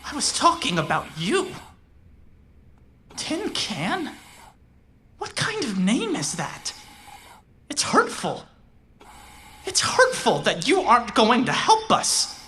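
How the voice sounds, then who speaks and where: A possessive, bodiless mind in a cyberpunk radio drama. She broods and taunts at an unhurried pace, her pitch moving widely. Some noise sits behind the voice. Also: wildly swooping pitch, fairly bright tone, full character voice. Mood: accusing, menacing. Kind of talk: cyberpunk audio drama acting.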